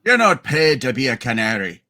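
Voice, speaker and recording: A man's voice: mid-range voice, big pitch swings, normal speed, bright, forceful tone. A man voicing a grieving, short-tempered older druggist who barks his lines in an amateur radio play reading. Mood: irritable, angry.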